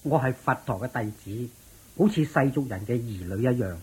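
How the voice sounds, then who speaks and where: A woman's voice: rumbling low, animated, moderate pace, slightly rough, heavily acted, low-quality recording. A woman playing a proud, boastful rich landlord in a Cantonese radio drama. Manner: boastful, shouting at the sky.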